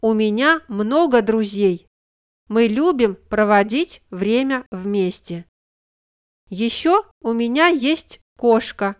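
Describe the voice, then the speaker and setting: A woman reading the part of a young female Russian student who introduces herself slowly and carefully. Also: a little high, lively intonation, clean tone, no echo, studio quality. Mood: friendly. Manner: slow, careful textbook reading.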